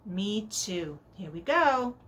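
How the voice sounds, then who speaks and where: Animated woman hosting children's story time. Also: medium pitch, slow, a little hiss or hum. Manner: animated, encouraging, sing-song questioning. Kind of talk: addressing young children directly.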